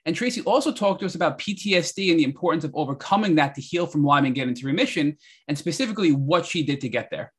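A man co-hosting a podcast, briskly reading a recap of what was learned in the episode. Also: a little high, animated, a bit bright, slightly rough. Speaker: podcast co-host.

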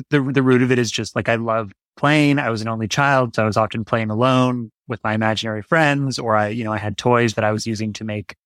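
A man's voice: medium pitch, fairly bright tone, no room sound, studio quality. A man speaking as an interview guest, a writer answering in a reflective, conversational way and searching for his words.

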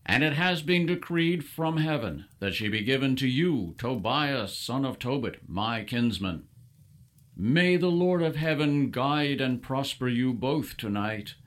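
A man playing a devout, hospitable father of biblical times in an audio drama, speaking in a formal, expressive way. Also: mid-range voice, lightly acted. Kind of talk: full-cast audio drama acting.

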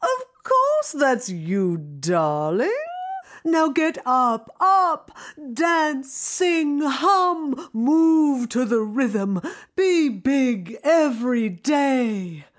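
A woman's voice: high-pitched, big pitch swings, extremely slow, pitched above the performer's own voice, full character voice. A woman playing an elegant, exuberant older singing teacher in an audio drama, theatrical and commanding. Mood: exuberant, urging. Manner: theatrical, commanding, calls people darling. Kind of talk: audio drama character acting.

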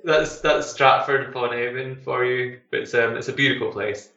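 A man's voice: medium pitch, steady tone, moderate pace, sharp tone, roomy sound, highs cut off. A Scottish man from Glasgow, a tour manager talking enthusiastically in a casual interview, with many fillers and restarts. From Glasgow, Scotland.

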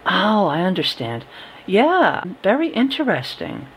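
A woman's voice: medium pitch, huge pitch swings, unhurried, slight background noise, low-quality recording. A woman hosting a conversational interview, asking questions in a casual, informal way. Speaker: host, interviewer.

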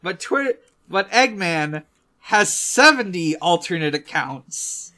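A podcast co-host casually recapping a story among friends, in a halting way with drawn-out pauses. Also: mid-range voice, lively intonation, sharp tone.

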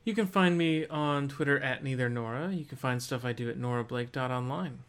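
A man co-hosting a casual video game podcast, speaking in a halting, deliberate way as he thinks aloud. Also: mid-range voice, fairly bright tone, smooth. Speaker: podcast co-host.

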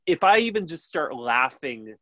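A man with a high, nasal voice hosting a radio show, chatting casually and rambling with lots of fillers. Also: very animated, normal speed, a bit bright, dry recording, highs cut off. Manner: rambling, many fillers and restarts, laughs easily. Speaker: radio host. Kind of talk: casual interview chat.

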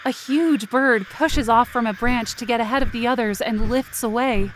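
A woman's voice: fairly high pitch, normal speed, a little hiss or hum, lightly acted, poor audio. Woman acting as a guilt-ridden young female narrator. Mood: watchful, tense. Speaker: narrating character in fiction podcast. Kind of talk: scripted first-person audio drama narration.

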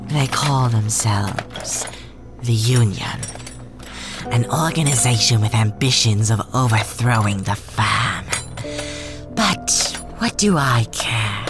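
A woman's voice: a bass voice, expressive, over music, heavily acted. A woman voicing a cold, contemptuous male doctor villain in an audiobook who slips into whispers. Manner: contemptuous, slow, drops to a whisper. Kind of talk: audiobook voice acting.

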